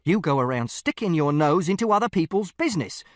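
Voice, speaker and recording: A volatile middle-aged father, low and slow in his menace, who turns swearing and loud when his temper goes, voiced by a man in an audiobook reading. His voice swings widely in pitch. The sound is dry and close. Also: sing-song, no room sound, natural voice. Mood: furious. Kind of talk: audiobook character voice.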